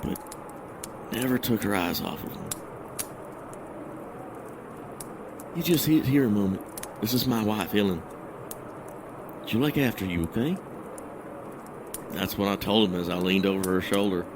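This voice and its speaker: An actor playing a troubled, guilt-ridden husband in an audio drama, recounting a memory in a halting, hesitant, brooding monologue. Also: male voice, lowish voice, noisy background, close to an everyday voice. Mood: brooding, regretful.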